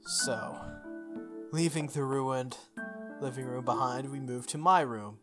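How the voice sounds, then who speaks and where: A game player narrates a visual novel aloud in a mid-pitched voice, mixing read narration with casual asides. Music runs under the close-miked voice. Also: slow pace.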